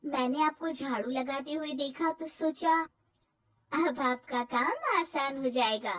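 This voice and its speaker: A woman's voice: high-pitched, lively intonation, slow pace, a bit bright, voice pushed down, full character voice. A woman voicing a determined young daughter-in-law from the city in a cartoon story, explaining things politely and earnestly.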